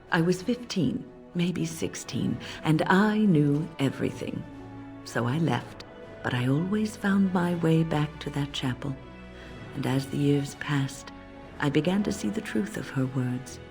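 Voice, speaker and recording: An actress playing a wise older female mage in a fantasy game, speaking as a gentle but firm, reflective mentor in measured phrases. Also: low voice. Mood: wry. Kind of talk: dramatic game dialogue.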